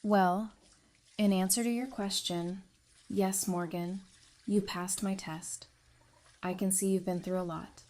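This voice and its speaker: A woman's voice: medium pitch, steady tone, slow pace, pauses often, darkish tone, close to an everyday voice. A woman playing a composed, candid psychiatrist in an audio drama, speaking thoughtfully. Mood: friendly, sincere.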